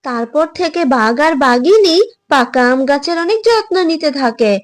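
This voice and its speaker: A woman narrates a children's animal fable. Her voice is high, and she tells it as a scripted cartoon storyteller. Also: expressive, moderate pace.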